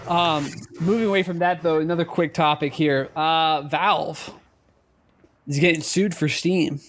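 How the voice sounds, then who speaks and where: A man co-hosting a casual podcast, speaking haltingly and repeating words as he goes. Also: a little high, steady tone, a bit bright, a little hiss or hum. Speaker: podcast co-host.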